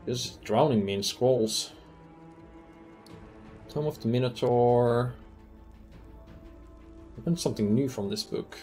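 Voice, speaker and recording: A man's voice: medium pitch, slow, long pauses, warm tone, soft background music. A man streaming a fantasy roleplaying game who thinks aloud in casual commentary, with many ums as he weighs loot and rules.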